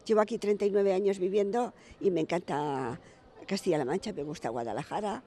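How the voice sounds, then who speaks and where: A woman's voice: mid-range voice, brisk, dark tone. Cheerful woman on the street. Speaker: passer-by interviewed. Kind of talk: street vox pop.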